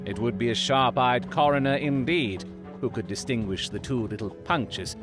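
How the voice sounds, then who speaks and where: A man performs a self-assured Victorian detective for an audio drama. He is coolly analytical and explains in precise, formal, theatrical phrases at a middling male pitch, with music underneath. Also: animated, normal speed, natural voice. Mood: approving, brisk.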